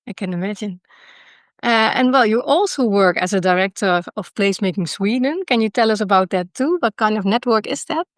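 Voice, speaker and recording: A woman's voice: mid-range voice, expressive, brisk, no room sound, studio quality. A woman hosting a podcast interview, friendly and encouraging, with many short agreements. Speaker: podcast host.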